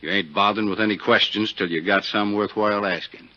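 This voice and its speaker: A man's voice: lowish voice, fairly even intonation, fast talker, bright, forceful tone, dry recording. An actor playing a shrewd, folksy small-town sheriff in a 1950s radio drama, with fatherly, rustic talk. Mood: approving. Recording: dull 1950s radio recording.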